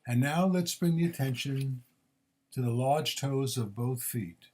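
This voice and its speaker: Male meditation teacher, medium pitch, measured and gently instructive, short phrases with pauses, guided body scan delivery.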